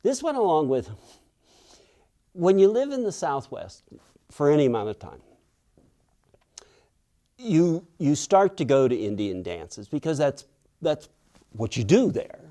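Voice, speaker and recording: A man's voice: a little high, very animated, mellow. An older man from Western Pennsylvania, an author telling reflective stories in an interview and acting out the voices of people he quotes. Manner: thoughtful, unhurried, acts out quoted people.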